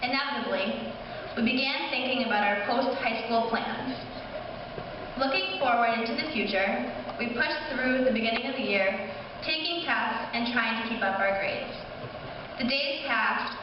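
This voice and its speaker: A woman's voice: a little high, moderate pace. Distant, echoey young woman reading a speech. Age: young adult. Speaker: high school class officer. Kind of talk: scripted speech read aloud at a school ceremony. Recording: distant echoey hall, muffled, noisy.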